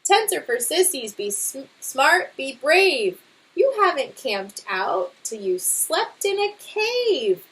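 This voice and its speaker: A woman's voice: high-pitched, big pitch swings, takes it slowly, a bit bright, full character voice. A woman voicing a boastful, bumbling father bear in a storybook read-aloud, with an emphatic manner. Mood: defiant.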